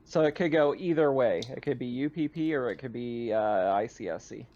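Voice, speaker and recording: A man's voice: mid-range voice, brisk, darkish tone. A man acting as game master, explaining things in an informal, matter-of-fact way. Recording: dull lo-fi mic, keyboard noise.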